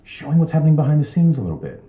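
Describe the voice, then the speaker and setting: Very dark muffled male voice, casual creator musing, echoey room. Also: on the high side, very animated, rapid-fire. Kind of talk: casual natural dialogue.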